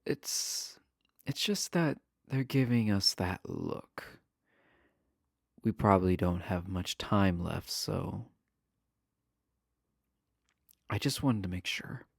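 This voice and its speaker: A man acts out an adorkable jailed villain in an intimate romance audio roleplay. His low voice has a gravelly creak and moves slowly, with nervous, halting stammers and an earnest, smitten tone. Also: expressive, no room sound, clean studio sound, exaggerated voice. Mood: apologetic, nervous. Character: shy, adorkable imprisoned male villain, smitten with pen pal.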